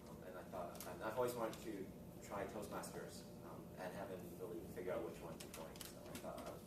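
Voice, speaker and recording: A man's voice: deep voice, fast. A native Cantonese-speaking man introducing himself hesitantly as a new visitor to a club. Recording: roomy, distant mic, loud noise.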